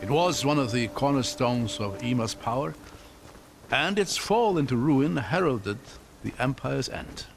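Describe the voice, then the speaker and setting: An actor playing a curious, learned scholar in a fantasy video game, articulate, formal and thoughtful. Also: male voice, mid-range voice, expressive, unhurried, gravelly, faint music behind. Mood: scholarly, somber. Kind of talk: dramatic video game acting.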